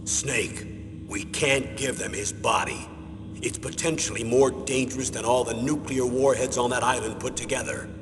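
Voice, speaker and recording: An actor playing a grave, authoritative older military commander, giving a measured, serious mission briefing in a video game. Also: male voice, medium pitch, steady tone, music in the background, lightly acted. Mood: solemn explaining. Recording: long reverb, clear background noise.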